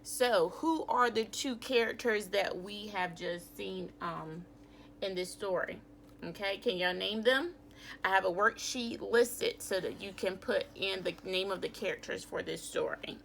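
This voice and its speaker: A woman teacher giving a children's read-aloud lesson in an expressive, instructive way. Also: mid-range voice, measured pace, gravelly, slight background noise. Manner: expressive, instructive, asks questions of pupils.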